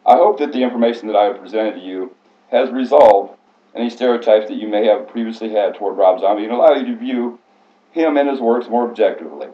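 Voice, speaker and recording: A man's voice: on the low side, fast talker. A man reading a prepared biographical speech aloud in a formal, scripted way, stumbling now and then. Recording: echoey room, slightly muffled sound.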